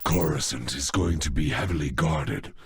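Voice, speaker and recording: An actor playing a grim, loyal henchman in a video game, gravelly and husky, with a terse, stolid delivery. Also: a bass voice, normal speed, dry recording, clean studio sound, full character voice. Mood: grim, wary. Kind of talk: dramatic video game voice acting.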